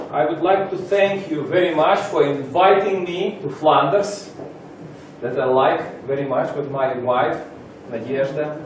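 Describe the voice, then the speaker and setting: A Russian man speaking English as a second language, giving a halting seminar introduction with many pauses. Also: fairly high pitch, slight background noise. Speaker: lecturer. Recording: roomy echo from far off.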